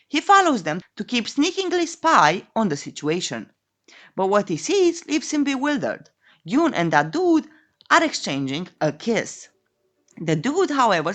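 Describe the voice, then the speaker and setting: Woman narrating with lively, swooping pitch. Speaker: narrator of a comic recap channel. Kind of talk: scripted story recap narration.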